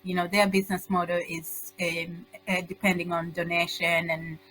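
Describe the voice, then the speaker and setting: A middle-aged woman with a Tanzanian accent giving informal, halting answers as an interview guest. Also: on the low side, flat delivery, fairly bright tone, faint music behind, rough recording. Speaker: guest, social entrepreneur.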